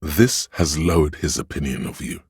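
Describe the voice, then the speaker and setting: Very deep gravelly male narrator. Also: moderate pace, dry recording, studio quality. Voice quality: gravelly, husky. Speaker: voice actor narrating a video game. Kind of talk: scripted dramatic narration.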